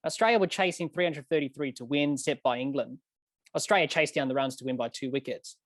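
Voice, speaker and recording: A man's voice: medium pitch, fairly quick, fairly bright tone, no room sound. A man hosting a podcast, reading prepared questions in an earnest, slightly formal way and correcting himself as he goes. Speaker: podcast host.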